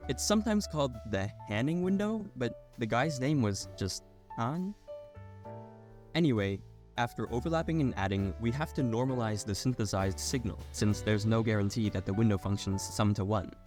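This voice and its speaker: A man's voice: on the low side, animated, a little fast, a little mellow, soft background music. A young adult man reading a scripted technical explainer as a narrator.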